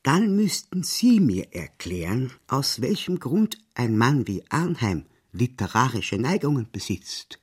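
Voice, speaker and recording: An aloof, formal official, a diplomat who probes and gives little away, in a literary radio play. The male voice is rough-edged and mid-pitched, with lively rises and falls. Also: unhurried, few pauses. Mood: sceptical, probing. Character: pedantic senior Austrian diplomat, guarded, aloof husband. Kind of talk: German literary radio drama.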